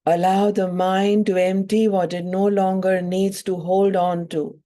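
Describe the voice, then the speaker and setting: A woman yoga teacher leading a guided meditation in soothing, measured phrases with long pauses between them. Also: medium pitch, flat delivery, warm tone.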